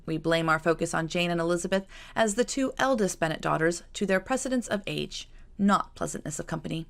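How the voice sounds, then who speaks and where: A woman voicing an affectionate, genteel Regency aunt in a formal, measured audiobook letter. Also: fairly low pitch, animated, gravelly.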